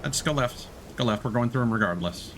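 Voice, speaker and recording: A man's voice: mid-range voice, animated, brisk, noisy background. A man casually chatting and joking while streaming a video game, in a conversational, slightly hesitant way. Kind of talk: casual chat while gaming. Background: video game sea and ship ambience.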